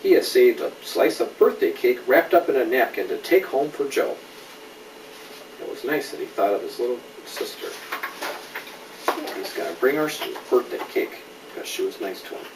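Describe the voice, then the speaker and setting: Animated man reading a storybook, distant roomy recording. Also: mid-range voice, normal speed, very noisy. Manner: animated, checks in with questions. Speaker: father reading a storybook aloud to a child. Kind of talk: bedtime story reading with asides to a child.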